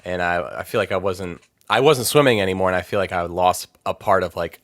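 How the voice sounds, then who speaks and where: A man's voice: sits low, brisk, fairly bright tone, dry recording. A young man from Maryland chatting casually as a podcast guest, rambling with lots of fillers and laughing easily. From Maryland, USA. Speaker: podcast guest, metal vocalist. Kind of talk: casual interview chat.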